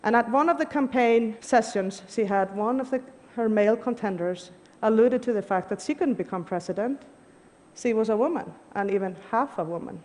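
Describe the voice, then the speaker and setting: A woman's voice: a little high, normal speed, a little mellow, a little hiss or hum. A woman, giving an earnest, emphatic prepared talk on stage. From Iceland. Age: 40s. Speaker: conference speaker. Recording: long hall reverb.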